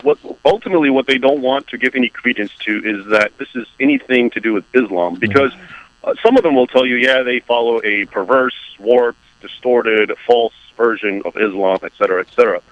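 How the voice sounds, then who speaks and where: A man from the United States, an author speaking as a radio guest, explaining his view in a measured, emphatic way. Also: mid-range voice, no room sound, lo-fi sound. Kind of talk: radio interview.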